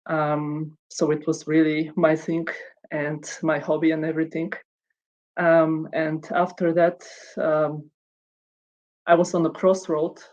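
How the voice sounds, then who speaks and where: A woman's voice: low-pitched, a little mellow, poor audio. A woman in her thirties from Bulgaria, giving an online conference talk in a hesitant way, with many ums and restarts. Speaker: conference speaker.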